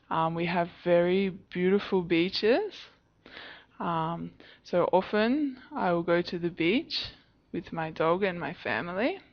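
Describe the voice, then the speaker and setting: Slow, cheerful woman. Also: on the low side, muffled recording. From Australia. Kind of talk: unscripted answers for a learner video.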